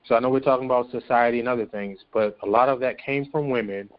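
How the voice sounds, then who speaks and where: A man speaking as a guest in a panel discussion, measured and explanatory. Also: mid-range voice, dull audio. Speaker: podcast guest from a stage play production.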